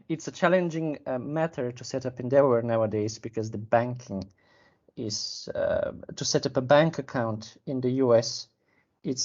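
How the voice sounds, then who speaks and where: A man's voice: mid-range voice, darkish tone, lo-fi sound. Man explaining carefully. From Bulgaria. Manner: measured, explanatory, pauses to find words. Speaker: sales and business development manager. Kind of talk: business interview.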